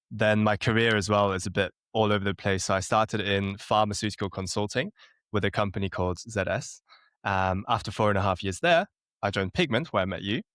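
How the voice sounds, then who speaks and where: A man in his late twenties from Germany is a guest on a podcast, telling his story in a relaxed, self-deprecating way. His voice sits fairly low. It is recorded close and dry. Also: dry recording. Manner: relaxed, self-deprecating, chuckling now and then. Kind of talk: casual interview chat.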